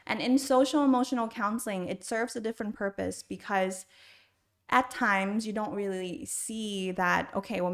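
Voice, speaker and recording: A middle-aged woman with an American accent, answering interview questions conversationally as a podcast guest. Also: mid-range voice. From Thailand, then the United States from age six. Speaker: podcast guest, school university counselor.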